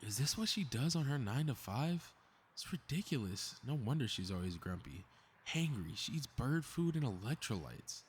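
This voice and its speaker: A man's voice: medium pitch, big pitch swings, normal speed, a little hiss or hum, close to an everyday voice. A man playing a cocky, snarky superhero in a scripted audio roleplay, muttering to himself with sarcastic exasperation. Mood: exasperated. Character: cocky, snarky, meddling invisible male superhero grumbling to himself.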